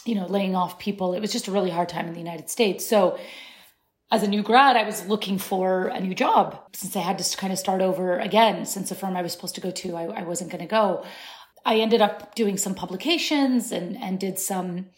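A woman's voice: mid-range voice, brisk. A woman in her thirties, born in Syria, speaking as an interview guest in a thoughtful way with many restarts. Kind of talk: conversational interview.

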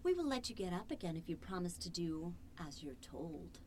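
A woman playing a cold, controlling artificial intelligence in a horror radio drama, measured, commanding and possessive. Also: fairly low pitch, big pitch swings, warm tone. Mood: threatening. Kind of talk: old-time radio horror acting.

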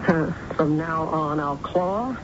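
Low-voiced, hard-bitten American working mother. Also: takes it slowly. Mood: hard, determined. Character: hard-bitten, ambitious working mother and deserted wife, an office typist. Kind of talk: old-time radio drama acting. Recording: dull, very noisy old radio broadcast.

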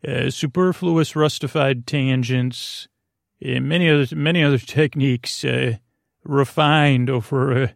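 A man hosting a bedtime podcast, speaking in a creaky voice, meandering and halting with lots of ums and repeated words as he lulls listeners to sleep. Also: mid-range voice, steady tone, dry recording, studio quality. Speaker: podcast host. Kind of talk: rambling bedtime monologue to lull listeners to sleep.

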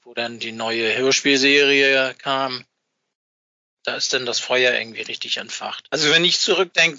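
Very bright-voiced man, dull dry recording. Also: medium pitch, no echo. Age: middle-aged. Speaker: podcast guest, fan club founder. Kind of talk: casual interview chat. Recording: dull, dry, band-limited remote connection.

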